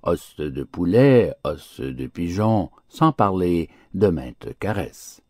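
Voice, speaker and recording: A man's voice: sits low, very animated, slow, a little mellow, no room sound, studio quality, full character voice. A man voicing a big, well-fed storybook mastiff, complacent and persuasive, servile to his masters. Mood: smug, enticing.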